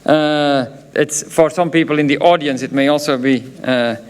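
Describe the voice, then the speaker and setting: Flemish-accented man, somewhat high voice, hesitant, lots of uh, graduation speech. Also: steady tone, normal speed, smooth. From Belgium. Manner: hesitant, many filler sounds, chatty asides. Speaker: professor presenting diplomas.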